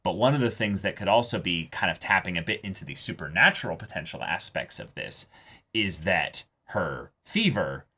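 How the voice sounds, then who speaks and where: A man co-hosting a reaction show, casually analysing a story in an explanatory way, often halting to search for words. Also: low-pitched, very animated, pushed and bright. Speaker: co-host of an anime reaction show.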